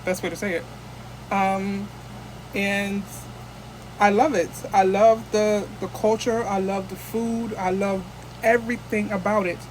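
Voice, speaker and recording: A woman's voice: medium pitch, fairly even intonation, unhurried, slight background noise, low-quality recording. A woman from the United States chatting casually into her phone camera as a vlogger, rambling and restarting often.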